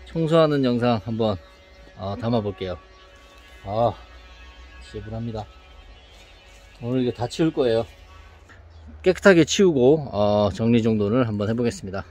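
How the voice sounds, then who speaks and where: A man's voice: medium pitch, lively intonation, moderate pace, pauses often, darkish tone, soft background music. A man in his thirties filming himself with a phone outdoors, narrating a casual, upbeat vlog straight to the camera. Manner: upbeat, chatty, talks to the camera. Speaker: vlogger. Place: outdoors in an overgrown yard. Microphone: phone at arm's length.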